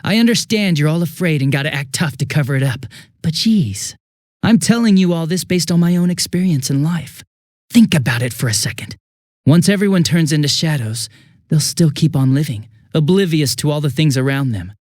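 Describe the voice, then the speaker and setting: An American man playing a cynical, bitter young villain in a video game, with a husky voice and a flippant, contemptuous manner. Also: a little high, lively intonation, moderate pace, a little mellow, no room sound, clean studio sound, close to an everyday voice. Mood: mocking, jaded. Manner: flippant, mocking, contemptuous drawl. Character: cynical, bitter, sneering young male villain turning unhinged.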